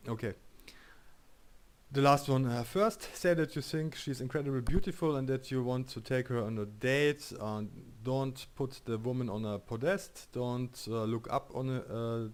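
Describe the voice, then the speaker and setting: German guy streaming a game, jokey, reading out the options and chewing on them. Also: medium pitch. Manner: joking, reads choices aloud, thinks out loud. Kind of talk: casual let's-play commentary.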